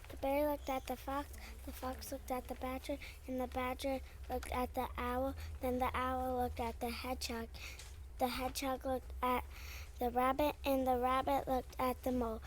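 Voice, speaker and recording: A woman's voice: high-pitched, flat delivery, normal speed, a little hiss or hum. A woman reading scripted storybook narration for a readers theater performance.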